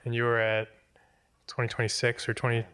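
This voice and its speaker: A man's voice: medium pitch, completely flat delivery, fairly quick, fairly bright tone, clean tone. A middle-aged man with an American accent, chatting casually as an interview guest about his working life. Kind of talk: casual conversational storytelling.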